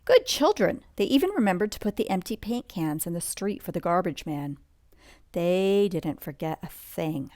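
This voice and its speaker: A woman's voice: on the low side, animated, a little mellow, dry recording, studio quality. A woman reading a picture book aloud as a bedtime read-along, adding conversational asides and questions to the listener. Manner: unhurried, conversational, points out details and asks the listener questions.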